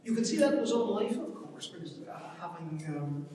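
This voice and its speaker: A man's voice: sits high, very animated, a little fast, warm tone. A man gives an informal literary talk off the cuff, hesitant and often repeating words as he thinks aloud. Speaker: panel speaker on literature. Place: large reverberant indoor event space. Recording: distant, very echoey hall with long reverb.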